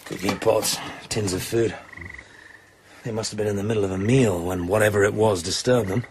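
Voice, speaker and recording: An actor playing a tough British secret agent in a radio drama, speaking in a clipped, commanding, understated way. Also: male voice, fairly low pitch, steady tone, moderate pace, noisy background, close to an everyday voice. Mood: wry, observant.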